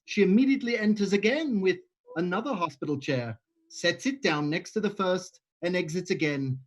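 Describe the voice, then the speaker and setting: A man reading stage directions aloud in an even, matter-of-fact way. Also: high voice, low-quality recording. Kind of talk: script reading.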